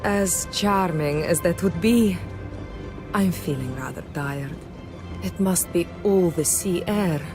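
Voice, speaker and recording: A woman's voice: lowish voice, takes it slowly, music in the background. An actress playing a reserved, gracious lady traveller, polite and composed, in a video game. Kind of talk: video game dialogue acting.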